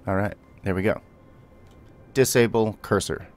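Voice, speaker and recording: A man's voice: lowish voice, measured pace, faint music behind. A man giving a gameplay tutorial, mixing clipped spoken commands with casual explanation. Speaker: tutorial host.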